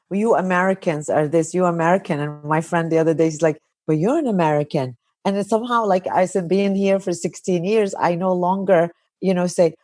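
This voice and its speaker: Low-voiced chatty woman host, dry sound. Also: no room sound. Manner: chatty, animated storytelling with many "you know" and "like". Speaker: podcast host, psychologist. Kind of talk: casual conversational interview. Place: living in Kuwait.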